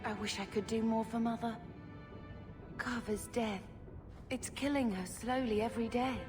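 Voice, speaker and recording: An actress playing an earnest, wistful young mage in a video game, speaking sincerely and reflectively. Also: female voice, on the high side, fairly even intonation, moderate pace, over music, natural voice. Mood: sad, earnest.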